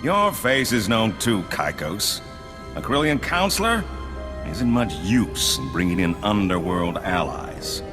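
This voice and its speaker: A scheming gambler of a spy in a game cutscene. His voice is deep and very expressive, smug even when cornered. Music plays loudly behind him. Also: measured pace. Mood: irritated. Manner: smug, bargaining. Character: cornered male double-agent gambler, slick and scheming. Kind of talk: video game cutscene acting.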